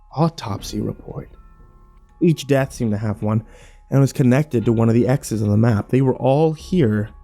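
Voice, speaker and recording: A man playing a grim, fearful survivor, narrating his ordeal in a brooding, measured first-person horror style. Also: mid-range voice, gravelly, dark tone.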